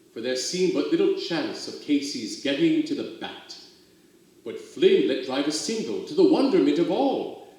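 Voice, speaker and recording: A man giving a dramatic poetry recital, with expressive, theatrical storytelling and drawn-out emphasis. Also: a little high. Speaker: reader of poetry for a theater company. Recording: very echoey, roomy sound.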